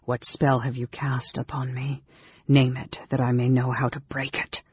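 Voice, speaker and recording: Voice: medium pitch, monotone, moderate pace, breathy edge, dull audio. A performer voicing a frail, sickly young male mage in a weak whisper, sneering, formal and commanding, for a fantasy story. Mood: cold, demanding.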